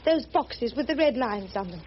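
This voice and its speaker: A woman's voice: on the high side, animated, normal speed, natural voice. An actress playing a prim, determined English missionary in her thirties, with Received Pronunciation and a formal, earnest manner, in a radio drama. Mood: intent, curious. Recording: muffled, noisy old radio drama recording.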